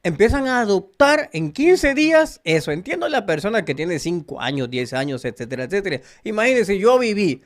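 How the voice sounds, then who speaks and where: A man's voice: high-pitched, big pitch swings, moderate pace, hardly any pauses. A man from El Salvador with a Salvadoran Spanish accent, giving an earnest, preachy spiritual monologue straight to his viewers. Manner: earnest, preachy, addresses listeners directly. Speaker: spiritual channel host.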